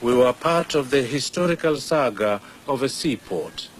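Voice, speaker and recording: Older Kenyan man narrating a documentary. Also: medium pitch. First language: Swahili. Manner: measured, reflective storytelling. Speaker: documentary presenter. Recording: steady background noise.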